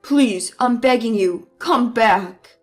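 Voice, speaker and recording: A woman voicing a selfish, bullying husband who blusters and yells, then begs. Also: a little high, slow, faint music behind. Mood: pleading. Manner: blustering, yelling then pleading. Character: bullying adult male husband, selfish mama's boy.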